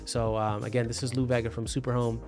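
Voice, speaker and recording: A man's voice: medium pitch, flat delivery, fast talker, a little mellow, soft background music. A man presenting a casual explainer video as an installer, talking in a conversational, explanatory way and repeating points for emphasis. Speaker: presenter, intercom and door hardware installer.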